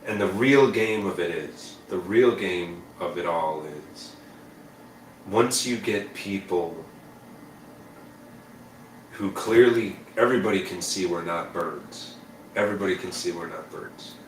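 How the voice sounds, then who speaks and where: A man's voice: deep voice, a little slow, long pauses, a little hiss or hum. A man chatting as a guest on a live show, dry and sarcastic, heard from a distant, echoey room. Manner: sarcastic, deadpan. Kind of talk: casual chat.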